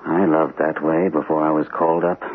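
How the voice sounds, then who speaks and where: Shell-shocked captain, really deep, hesitant n haunted, old radio play sound, thin and tinny. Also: male voice, level tone, fairly quick. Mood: earnest, sad. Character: shell-shocked army captain, earnest and troubled. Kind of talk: old-time radio mystery acting. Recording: tinny band-limited vintage radio, faint hiss.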